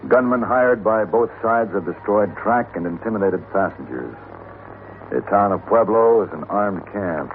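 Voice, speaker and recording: Self-assured, urbane gunfighter played by a man for radio western, deep measured delivery, noisy vintage recording. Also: animated, narrow, tinny audio, lightly acted. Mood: composed narration. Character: cool, calculating male hired gunfighter, mature and self-assured. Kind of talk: 1950s western radio acting and narration.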